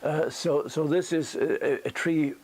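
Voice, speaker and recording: A man who speaks as a gives an unscripted critique as a teacher, thinking aloud haltingly with a whimsical storytelling streak. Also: on the high side, steady tone, darkish tone, slightly rough. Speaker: bonsai teacher giving tree critiques. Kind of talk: unscripted spoken critique and demonstration. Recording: noisy ambient location recording.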